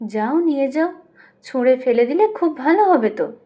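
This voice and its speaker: A woman's voice: sits high, lively intonation, moderate pace, mellow. A woman voicing an impatient young married daughter who scolds in exasperation, in an audio story. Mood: irritated.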